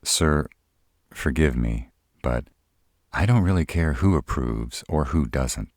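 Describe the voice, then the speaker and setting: Man voicing a dry, polite, low-voiced sarcastic vampire. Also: expressive, takes it slowly, darkish tone, dry recording, exaggerated voice. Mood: defiant. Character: sarcastic young male vampire, polite but defiant. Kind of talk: roleplay audio drama acting.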